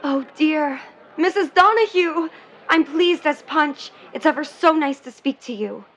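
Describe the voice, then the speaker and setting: A woman's voice: high-pitched, a little slow, a bit bright, a little hiss or hum. A woman playing an earnest, romantic young sweetheart in a 1950s audio drama, speaking in a polite, dreamy, poetic way. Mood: delighted, polite. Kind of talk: vintage-set audio drama acting.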